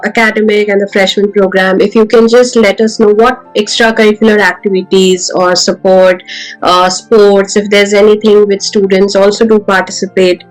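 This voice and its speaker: A woman's voice: mid-range voice, rough recording. Podcast host woman asking questions. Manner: hesitant, many fillers. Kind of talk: interviewing with questions.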